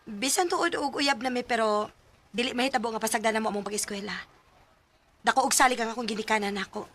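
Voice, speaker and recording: A woman's voice: fairly high pitch, quick pace, a bit bright, natural voice. A woman playing an earnest, anxious schoolgirl in love in a radio drama, with a Cebuano Visayan Filipino accent. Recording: very noisy radio drama recording with clicks.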